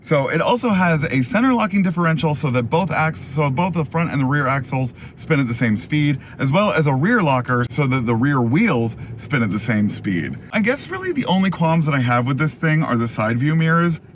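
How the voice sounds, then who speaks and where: A man hosting a casual, chatty car review in an animated, opinionated, enthusiastic way, his voice going a little hoarse. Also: on the high side, moderate pace, hardly any pauses, a little hiss or hum. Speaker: car review video host.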